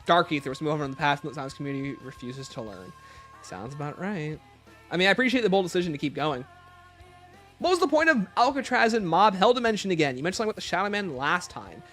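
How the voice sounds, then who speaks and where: A man's voice: on the high side, very animated, fast, fairly bright tone, faint music behind. A man hosting a livestream, casually reading out questions and answering them with emphatic opinions.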